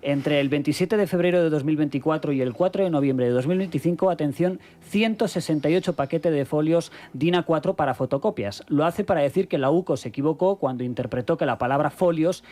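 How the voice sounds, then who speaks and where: A man's voice: a little high, a little fast, mellow. A man from Spain reading news items and introducing clips on a radio news show.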